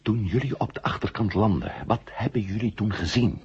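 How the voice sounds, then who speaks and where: An actor in a 1970 science-fiction radio drama playing a steady, commanding space hero who firmly and deliberately reassures a comrade. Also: male voice, fairly low pitch, slightly rough, highs cut off. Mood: determined.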